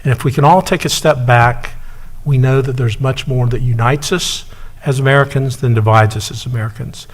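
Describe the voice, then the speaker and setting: Measured mayor chairing a council meeting. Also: male voice, mid-range voice, fairly even intonation. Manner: measured, with frequent fillers and pauses. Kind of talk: formal meeting chairing and prepared remarks. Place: city council chamber.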